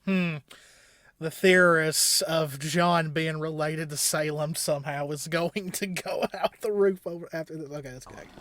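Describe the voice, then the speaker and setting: A man hosting a reaction show with casual commentary, often halting and thinking aloud as he goes. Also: fairly high pitch, steady tone, fairly bright tone, no room sound, studio quality.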